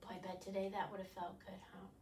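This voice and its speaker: An older woman leading a children's storytime as a librarian, animated and instructing, talking directly to young viewers with questions. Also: medium pitch, fast. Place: small living room, indoors. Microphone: far camera. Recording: roomy, distant camera microphone.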